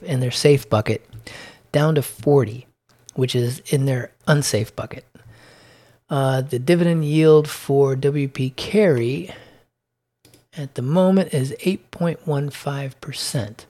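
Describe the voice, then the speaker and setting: A man with a slightly hoarse voice talks through his investment holdings in a relaxed, unscripted way. He stops and restarts often, filling gaps with ums while reading out percentages. His voice sits at an ordinary middle pitch for a man. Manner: halting, many ums and restarts, reads figures aloud. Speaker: dividend investing vlogger. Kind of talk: casual monthly portfolio recap.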